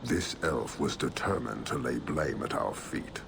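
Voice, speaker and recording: An actor playing a stern, proud giant military commander in a video game, speaking in a grave, formal, declamatory way. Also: male voice, very deep voice, expressive, a little slow, vocal fry, background noise, exaggerated voice. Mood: cold disdain.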